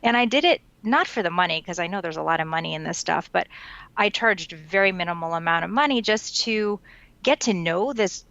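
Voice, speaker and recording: A woman's voice: fairly low pitch, a little fast, creaky, no echo, dull audio. A woman in her forties from the New York area whose first language is Japanese, telling her story fluently as an interview guest. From United States, New York tri-state area. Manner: fluent, storytelling, relaxed with light laughs. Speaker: guest, education author and consultant. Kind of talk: conversational interview answer.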